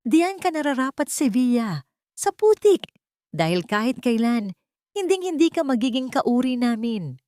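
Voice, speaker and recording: A woman's voice: high-pitched, sing-song, unhurried, warm tone, no room sound, pitched above the performer's own voice. A woman voicing a brutal, contemptuous bully who hurls scornful accusations in an audio drama story.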